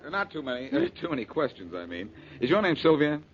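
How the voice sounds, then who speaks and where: An American actor playing a brisk, wry amateur detective in 1940s radio drama style. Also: male voice, on the high side, huge pitch swings, husky, exaggerated voice. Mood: questioning. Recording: noisy, dull 1940s radio recording.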